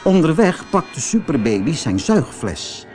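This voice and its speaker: A man reading a children's audiobook story as narrator, in an expressive, dramatised way. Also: a little high, measured pace, soft background music.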